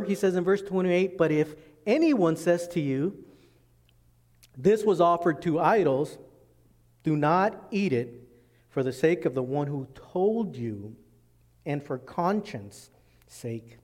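Male pastor, slightly high voice, acts out quotes, long hall reverb. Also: a little mellow. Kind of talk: expository sermon.

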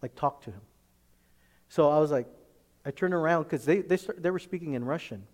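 A man, a pastor, tells a personal story in a conversational, halting sermon style, often acting out the dialogue. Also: fairly high pitch. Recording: echoey hall with long reverb.

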